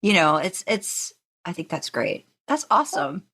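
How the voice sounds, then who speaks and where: A woman's voice: on the low side, sing-song, moderate pace, sharp tone, slightly rough. A woman hosting a podcast in a casual, agreeable, informal chat. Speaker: podcast host.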